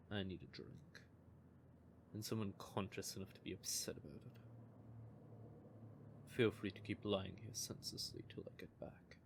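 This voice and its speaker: A man's voice: deep voice, a little hiss or hum. A man playing a bitter, sardonic male vampire who curses and mocks. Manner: cursing, mocking, gravelly. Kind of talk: audio drama roleplay.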